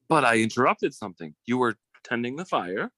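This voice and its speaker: A man's voice: medium pitch, very animated, moderate pace, sharp tone, dry recording. Man acting swooping, suave scheming suitor. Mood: charming. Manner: suave, theatrical, formal. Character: smooth-talking, scheming suitor courting a woman for money. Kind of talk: old-time radio melodrama acting.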